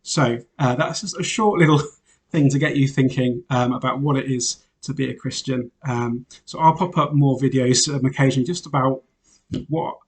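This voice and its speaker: A man, a parish priest from Wales who grew up in England, introduces himself in an easygoing, conversational way. His talk is loose and unhurried, dotted with "uh" fillers, with a middling pitch. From Wales, raised in Northamptonshire, England. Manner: relaxed and chatty, many "uh" fillers, light laughs. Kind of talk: informal self-introduction to parishioners.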